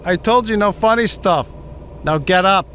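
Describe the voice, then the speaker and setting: A man's voice: high-pitched, normal speed, background noise, natural voice. A man playing a cruel, taunting gangster henchman interrogator in a noir audio drama, talking in tough-guy slang. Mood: threatening.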